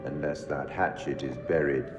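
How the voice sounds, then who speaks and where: An actor playing a mysterious, sardonic curator of stories in a horror game, speaking in a formal, measured, theatrical way. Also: male voice, very deep voice, steady tone. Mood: wry, ominous. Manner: formal, measured, ominous, addresses listener directly. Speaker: narrator and host figure of a horror game. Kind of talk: theatrical scripted game monologue. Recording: echoey hall reverb, music behind. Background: music.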